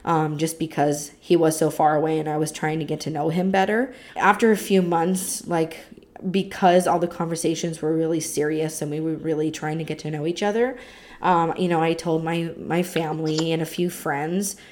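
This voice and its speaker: A young woman from the United States carries a casual podcast chat, talking freely and packing her speech with fillers. Her voice sits low for a woman. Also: level tone, moderate pace, a little mellow. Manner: chatty, talkative, with many fillers. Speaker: podcast co-host.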